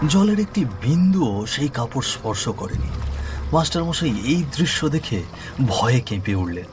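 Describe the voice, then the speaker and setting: A man's voice: mid-range voice, very animated, normal speed, noisy background. A man giving scripted narration of a horror story. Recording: music behind the voice.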